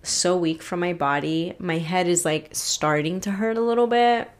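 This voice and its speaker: A woman's voice: lowish voice, moderate pace. Frustrated congested woman podcaster. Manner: frustrated, swearing, rambling. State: congested from allergies. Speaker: podcast host. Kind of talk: casual solo podcast rant.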